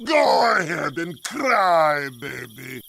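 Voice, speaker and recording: A man voicing a gruff, mocking bully in a cartoon comic dub. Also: fairly high pitch, sing-song, takes it slowly, pushed and bright, exaggerated voice.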